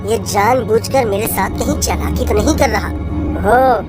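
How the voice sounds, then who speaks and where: A woman's voice: on the high side, normal speed, lightly acted. A woman playing an earnest, exasperated merchant man in a children's moral tale, exclaiming and scolding as the donkey's owner. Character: caring but exasperated male salt merchant, donkey's owner. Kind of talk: animated storybook character acting. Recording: music behind the voice.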